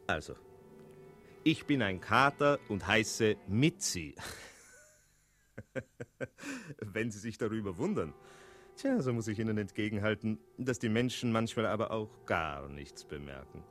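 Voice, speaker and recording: Theatrical, chatty tomcat storyteller. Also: male voice, lowish voice, very animated, unhurried, long pauses, fairly bright tone, faint music behind. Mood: chatty, amused. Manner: theatrical, digressive, pompously polite. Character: sly, chatty male house cat narrating a fairy tale. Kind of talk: German radio play fairy-tale narration.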